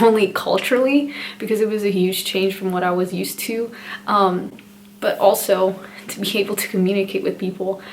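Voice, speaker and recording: A woman's voice: medium pitch, slight background noise. A young woman vlogger, a native Spanish speaker, chatting casually to camera with many fillers and frequent small laughs. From El Salvador.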